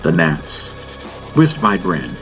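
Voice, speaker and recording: A man narrates a science-fiction audiobook in a low, deep voice. His delivery is scripted, dramatic storytelling, and music plays clearly behind him. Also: huge pitch swings, slow pace.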